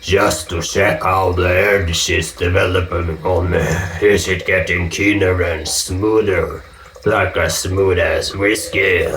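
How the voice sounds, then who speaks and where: A man with a Norwegian accent chatting casually while he shaves, joking and swearing in relaxed asides. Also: low voice, slight background noise. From Norway. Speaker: vlogger shaving with a straight razor. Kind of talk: casual talk while shaving. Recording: echoey room with dripping water.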